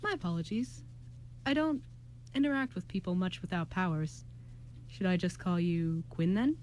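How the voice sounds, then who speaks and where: A woman playing a polite, eccentric male magician in an amateur radio play, speaking formally and calmly. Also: fairly low pitch, moderate pace, a little hiss or hum, close to an everyday voice. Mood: courteous. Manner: formal, courteous, calm.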